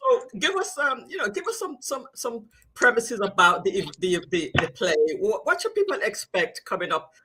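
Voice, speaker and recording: A woman's voice: fairly high pitch, big pitch swings, moderate pace, few pauses, noisy background. A woman hosting a casual TV interview in an agreeable, chatty way. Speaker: TV interview host.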